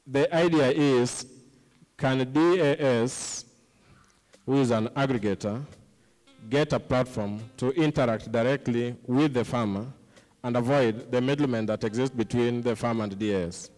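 A man's voice: medium pitch, unhurried, darkish tone, soft background music. A middle-aged man speaking as a panelist on a conference stage into a handheld microphone. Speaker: panelist, social entrepreneur. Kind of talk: panel discussion remarks. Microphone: handheld microphone close to mouth. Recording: reverberant hall sound.

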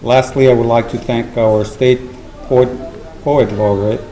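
A middle-aged man giving formal welcome remarks and thanks at a podium, in a halting, measured way. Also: medium pitch, fairly even intonation, warm tone. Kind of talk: formal public speech with thanks and introductions. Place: large meeting hall with seated audience, indoors. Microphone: podium gooseneck microphone. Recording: roomy auditorium sound, faint noise.

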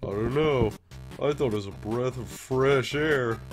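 A man's voice: medium pitch, a little slow, music in the background, close to an everyday voice. A man voicing a laid-back, weary skeleton brother in a comic dub, with a casual, understated drawl. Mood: teasing, lazy. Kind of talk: animated comic dub acting.

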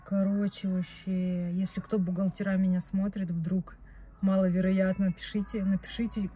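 Young woman, vlogger, husky and relaxed, talking loosely and restarting her sentences, in a car. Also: medium pitch, moderate pace. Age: young adult. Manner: chatty, rambling, self-correcting. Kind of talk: casual chat to camera. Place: parked car, indoors. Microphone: phone camera on dashboard.

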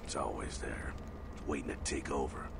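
A man playing a gruff, cynical vampire mentor in a video game, with gravelly, slangy, swaggering delivery. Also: rumbling low, moderate pace, heavy background noise. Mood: grave warning. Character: gruff streetwise male vampire mentor, cynical, darkly amused. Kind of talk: video game character dialogue.

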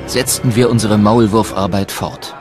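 An actor playing a miner and political activist, telling his memories in measured, literary sentences for a documentary radio play. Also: fairly low pitch, fairly even intonation, a little mellow, soft background music, close to an everyday voice. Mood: recounting. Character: German working-class miner and activist, recounting his life. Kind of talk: first-person memoir reading in a documentary radio play.

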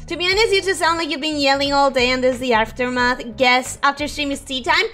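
A young woman streaming casually, her voice hoarse and ragged from sickness, giggling often as she reads chat and greets viewers. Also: sits high, quick pace, bright, forceful tone, faint music behind.

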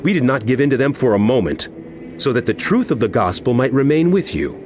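An actor playing an impassioned apostle in a dramatized audio Bible, speaking in a solemn, emphatic, oratorical way. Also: male voice, mid-range voice, expressive, fairly quick, darkish tone, slight background noise, close to an everyday voice. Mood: earnest recounting. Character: impassioned apostle writing a stern, earnest letter. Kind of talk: dramatized scripture reading over music. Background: background music.

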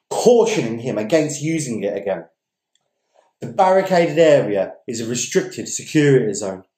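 A young man reads a story aloud in a theatrical, emphatic way. His voice is soft-edged and dark in tone, with deliberate pauses. The room around him rings with noticeable echo. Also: fairly high pitch. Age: young adult. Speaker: story reader. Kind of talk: dramatic reading of literary fiction. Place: indoors, small domestic dining room. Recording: echoey room.

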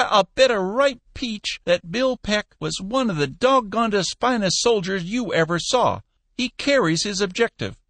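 A man reading an audiobook, voicing a feisty, soft-hearted old businessman in a high, chirping, bossy voice. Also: dry recording. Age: older.

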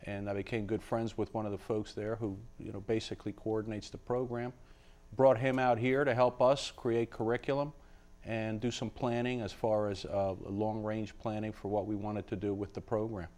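Low-voiced man explaining, halting and measured. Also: little pitch movement, a little mellow. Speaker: college associate dean, interview guest. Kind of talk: explanatory interview answers. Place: indoor TV studio. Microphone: lapel.

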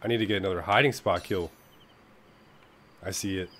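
Young man, stuffy nose, lowish voice, casual cursing game commentary, home setup. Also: brisk, noisy background. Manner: profane, rambling, talks to enemies on screen. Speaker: gameplay commentator. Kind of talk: casual let's-play commentary.

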